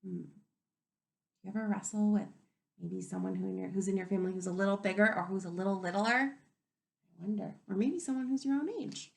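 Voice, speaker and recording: A woman reading a picture book aloud to young children as a teacher, expressively, pausing to wonder aloud and ask them questions. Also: mid-range voice, gravelly. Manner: expressive, wondering aloud, inviting listeners to reflect.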